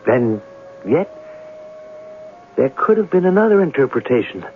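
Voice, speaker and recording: Inquisitive writer, thin old radio sound. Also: male voice, mid-range voice, big pitch swings. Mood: brooding, suspenseful. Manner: probing, deliberate, articulate. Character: inquisitive, romantic male writer investigating mysterious deaths. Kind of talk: 1970s radio drama acting and narration. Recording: noisy, narrow-band old radio broadcast.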